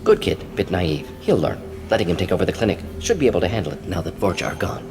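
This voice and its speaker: An aging alien scientist for a video game, brisk and clinical. His pitch is lowish but swings widely through clipped, article-free phrases. The voice rings with long reverb over clear background music. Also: big pitch swings. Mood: businesslike. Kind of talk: video game character dialogue. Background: game soundtrack music.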